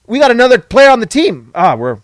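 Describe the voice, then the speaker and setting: A man hosting a casual basketball reaction, excitable and emphatic, repeating lines and correcting himself, slightly hoarse. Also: extremely high pitch, a little fast, no room sound, clean studio sound. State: losing his voice. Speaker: sports channel host.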